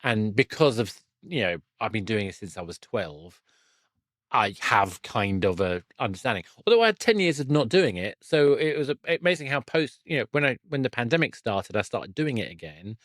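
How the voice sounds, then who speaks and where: Male interviewer for a casual podcast chat, mid-pitched voice, very dry recording. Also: sing-song, moderate pace, fairly bright tone, no room sound.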